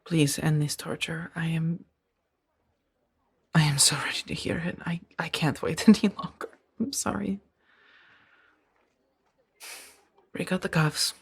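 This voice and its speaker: A woman playing a gentle, earnest Jedi in a soft-spoken ASMR roleplay, speaking in a hesitant, breathy murmur. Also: low-pitched, normal speed, full character voice. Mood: pleading, nervous. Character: gentle, earnest young female Jedi, tenderly conflicted.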